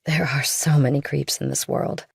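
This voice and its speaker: Low, creaky young woman, guarded and direct. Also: little pitch movement, normal speed, no echo, studio quality, exaggerated voice. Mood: curious. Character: young worldly girlfriend of a rich older man, guarded, pointed. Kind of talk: audiobook character dialogue.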